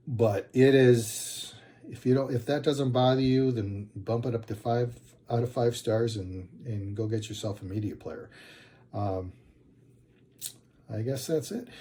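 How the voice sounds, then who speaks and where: A man giving a casual video product review in a hesitant, low-key manner. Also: unhurried, warm tone.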